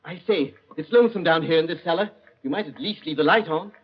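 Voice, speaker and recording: Male actor as witty, gallant British gentleman sleuth; highish voice, vintage radio drama, dull hissy recording. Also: quick pace, lightly acted. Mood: indignant. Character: plucky, flippant English gentleman detective, suave and gallant. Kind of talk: 1940s radio acting.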